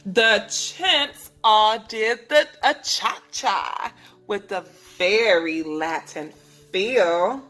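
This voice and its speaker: Preschool teacher lady reading a storybook to little kids, really slow and instructive, music behind her. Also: mid-range voice, pushed and bright. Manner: instructive, asks the listeners questions, enunciates for children. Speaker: preschool teacher reading a picture book aloud. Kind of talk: read-aloud lesson for young children.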